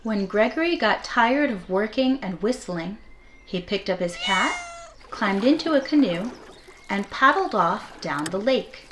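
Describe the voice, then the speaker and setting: Slow-paced woman reading a children's story. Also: mid-range voice, expressive, noisy background. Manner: measured, deliberate phrasing with emphasis on key words. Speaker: teacher reading a picture book aloud. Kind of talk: expressive children's story read-aloud. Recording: classical symphony music playing behind. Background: orchestral classical music.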